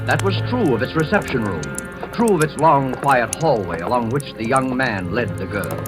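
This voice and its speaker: A man hosting and narrating a 1940s radio thriller in a measured, formal, ominous manner. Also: medium pitch, lively intonation, over music. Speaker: narrator and program host. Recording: old 1940s radio broadcast.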